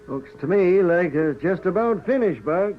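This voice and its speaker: An actor playing an excitable, trigger-happy outlaw henchman with folksy American speech in a 1940s Western radio drama. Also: high voice, moderate pace. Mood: needling. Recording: muffled, noisy old radio recording.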